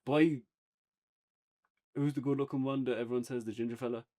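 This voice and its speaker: An Irish man chatting casually as a podcast co-host, unscripted, halting at times as he searches for words. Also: medium pitch, steady tone, warm tone. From Ireland. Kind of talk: casual unscripted review chat.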